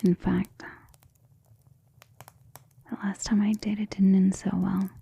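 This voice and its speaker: A woman voicing a centuries-old vampire lover in an ASMR romantic roleplay, soft, breathy and often whispering, intimate and gentle, with formal wording. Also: medium pitch, little pitch movement, slow pace, exaggerated voice. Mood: tender, vulnerable. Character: centuries-old female vampire lover, tender, protective, self-assured.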